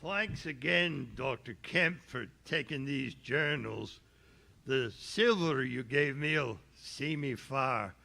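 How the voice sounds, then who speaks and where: An actor playing a scruffy, cowardly vagrant on the run in audio theater, speaking roughly and jitterily. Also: male voice, mid-range voice, slow pace, bright, forceful tone, slight background noise, exaggerated voice. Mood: shifty, uneasy. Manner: rough colloquial speech, jittery. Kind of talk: live audio theater performance.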